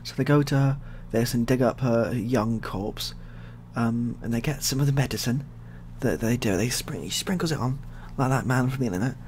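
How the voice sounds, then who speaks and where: Softly spoken rambling man, noisy recording. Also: mid-range voice, normal speed. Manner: rambling, repetitive, deadpan dark humour. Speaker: ASMR storyteller. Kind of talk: softly spoken improvised storytelling, ASMR. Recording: clearly audible background noise.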